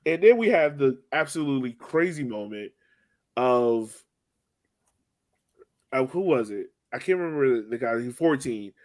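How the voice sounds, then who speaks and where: A man with an average-pitched voice co-hosts a casual sports podcast. He talks through plays informally, with slang and plenty of "like" scattered through his sentences. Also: lively intonation, moderate pace, pauses often, a little mellow. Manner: informal, slangy, filler-heavy with frequent "like". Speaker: soccer podcast co-host. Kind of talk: casual chat, sports match recap.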